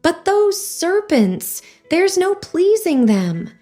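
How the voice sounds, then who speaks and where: Storybook pigeon hen, shrill and scornful, voiced by a woman reader. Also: very animated, takes it slowly, mellow, soft background music, heavily acted. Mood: complaining. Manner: shrill, sobbing complaints. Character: fretful contemptuous female pigeon, shrieking.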